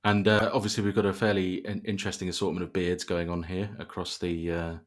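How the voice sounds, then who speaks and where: A man with a very low voice hosts a relaxed podcast. He talks in a bantering, conversational way, with dry humour often aimed at himself. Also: fast talker. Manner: dry, jokey, self-deprecating. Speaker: podcast host. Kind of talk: casual bantering podcast interview.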